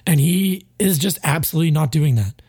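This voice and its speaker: A man venting on a casual sports podcast, blunt and exasperated, cursing as he breaks down a player's effort. His voice is gravelly and husky, a little stuffed up, with a dark, mellow tone. It is recorded close and dry. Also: a little high, expressive, brisk, no room sound. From Canada. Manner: frustrated, blunt, swears freely. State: stuffy, sinusy. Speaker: podcast co-host.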